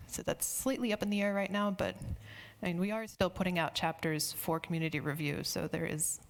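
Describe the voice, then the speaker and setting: A woman's voice: on the low side, steady tone, a little fast, creaky. A woman speaker answering audience questions after a conference talk, conversational and friendly, with some fillers. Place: conference hall.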